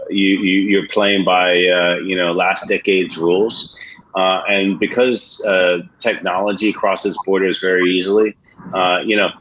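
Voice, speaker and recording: A man's voice: sits low, fairly bright tone, slight background noise. A man with an American accent, speaking as a venture investor on a webinar panel in a blunt, colloquial way with many filler sounds. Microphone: video call. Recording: muffled video-call audio.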